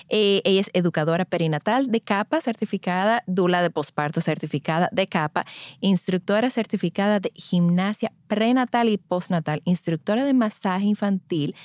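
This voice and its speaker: A woman's voice: medium pitch, dry recording, studio quality. A woman hosting a podcast, introducing and chatting in a conversational interview style. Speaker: podcast host, birth and postpartum educator.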